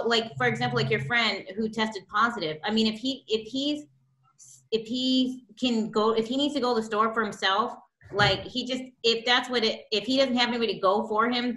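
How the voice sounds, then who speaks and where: A woman in her thirties tells her story over a video call with real animation, acting out what people said. Her voice is bright and forward, and the room around her mic sounds echoey. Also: on the high side. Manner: animated, acts out quotes. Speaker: co-host. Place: living room at home.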